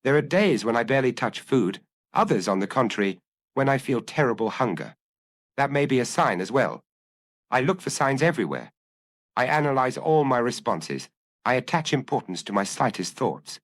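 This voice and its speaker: Guy reading as a tormented young murderer, earnest confession, pitch swings all over, super dry recording. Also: very animated, moderate pace, dry recording. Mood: uneasy. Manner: earnest, self-analyzing, confessional. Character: anguished young male killer confessing to his compulsion. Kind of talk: dramatic audiobook reading.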